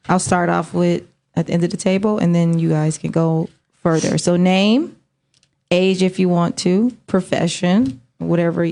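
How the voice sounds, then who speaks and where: A woman's voice: lowish voice, creaky, a little mellow. A woman hosting a casual beauty talk show indoors, joking and laughing with loose filler-heavy speech. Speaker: host. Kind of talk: casual chat. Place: indoor set, table of guests.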